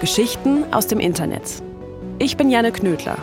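A woman's voice: fairly high pitch, lively intonation, normal speed. A woman podcast host, reading scripted storytelling narration. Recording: music playing behind the voice. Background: music.

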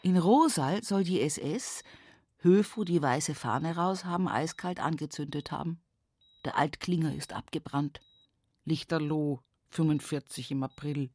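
Radio play role: rural Bavarian daughter, lowish voice, intimate, halting, musing at a bedside, very measured pace. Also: female voice, a little mellow, no room sound, clean studio sound. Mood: wistful musing. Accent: Bavarian, Upper Palatinate. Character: earthy rural daughter reminiscing at her mother's sickbed.

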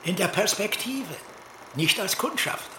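A man playing a guarded, earnest East German Stasi colonel in a dramatised dialogue, answering in a clipped, evasive way with a very husky voice. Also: high voice, expressive, measured pace, roomy sound, noisy background. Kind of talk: dramatised reading of a literary dialogue.

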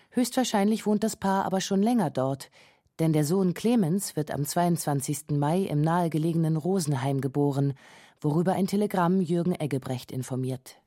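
A woman's voice: fairly low pitch, dark tone, dry recording, studio quality. A woman narrating a scripted radio feature as its documentary narrator.

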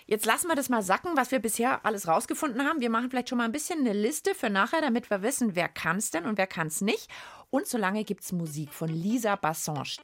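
A woman with a very animated, swooping delivery hosts a children's science show. She talks playfully and directly to the listeners in an easy conversational style. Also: mid-range voice. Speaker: co-host of a children's science podcast.

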